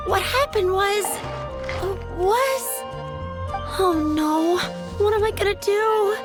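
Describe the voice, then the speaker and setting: Young video game florist: very high pitch, sweet, timid, polite, hesitant, over background music. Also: female voice, exaggerated voice. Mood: flustered, anxious. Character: sweet, timid young flower shop girl, easily flustered. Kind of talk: video game character acting.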